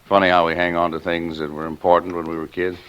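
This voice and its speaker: A man's voice: deep voice, flat delivery, a bit bright, smooth, highs cut off. An actor playing a middle-aged father in an old radio drama, genial and mock-formal, firm when pestered. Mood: contented, teasing. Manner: measured, jocular, mock-formal, sternly paternal. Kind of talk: 1940s-50s radio drama acting.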